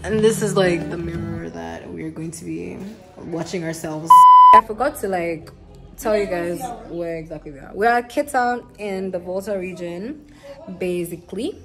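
A woman's voice: lowish voice, normal speed, gravelly. A young woman from Ghana chatting casually to her phone camera in a travel vlog, loose and a little tired, with music underneath. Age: young adult. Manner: chatty, laughing, tired morning talk. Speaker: vlogger. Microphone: phone camera held close.

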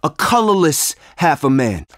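An actor playing a troubled, protective husband and expectant father in a naturalistic audio drama, speaking in measured, short phrases. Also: on the high side, very animated, a bit bright, no echo, clean studio sound. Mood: heated, exasperated.

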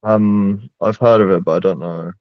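A young man wearing a headset, a student slowly and carefully repeating phrases in an online language lesson. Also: lowish voice, steady tone, no room sound, muffled recording. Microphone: headset on video call.

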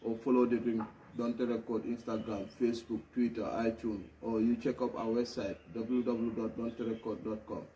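Male promoter-host, mid-pitched, slangy phrasing, repetitive plugs, caught off-mic on a phone with some background noise. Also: flat delivery, normal speed, few pauses, warm tone. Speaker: music promoter and vlog host. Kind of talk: casual promotional vlog, repeated catchphrases. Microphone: phone camera a few metres away. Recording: noisy, distant phone video audio.

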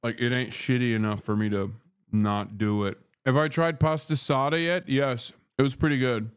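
A man in his thirties, from Turkey, riffs loosely and profanely with his live chat between bites. His voice sits at an ordinary middle pitch. He is close on a studio mic in a small wood-paneled room. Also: fairly even intonation, normal speed, smooth. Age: 30s. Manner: brash, profane, joking, talks with food in mouth. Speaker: streamer. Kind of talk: casual livestream chat while eating. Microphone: studio mic on boom arm close to face.

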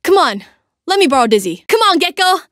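A woman's voice: very high voice, very animated, brisk, a bit bright, no room sound, clean studio sound, heavily acted. A young woman voicing a cocky, playful female fighter for a video game, with breezy, teasing, slangy delivery. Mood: teasing, upbeat. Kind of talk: video game character voice lines.

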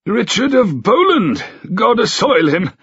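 A frail, tender-hearted old king speaks in a high, wavering voice that stretches every word slowly, as a man reading the story puts him on. The sound is dry and muffled. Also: big pitch swings, a bit bright, slightly rough, no room sound, heavily acted. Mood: delighted. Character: frail, sentimental elderly king.

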